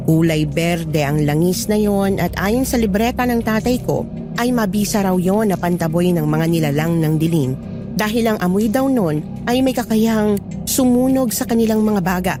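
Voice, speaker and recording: A woman's voice: medium pitch, normal speed, music in the background. A woman narrating a scripted first-person Filipino horror story.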